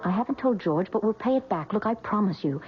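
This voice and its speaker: A woman's voice: mid-range voice, lively intonation, quick pace, faint music behind, natural voice. An actress playing an earnest, emotional young wife and new mother in an old radio drama. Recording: dull old radio broadcast recording.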